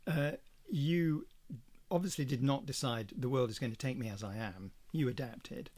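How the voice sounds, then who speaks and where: A man's voice: medium pitch, moderate pace, a little mellow. A man interviewing a guest in a radio studio, asking pointed questions with frequent ums and uhs.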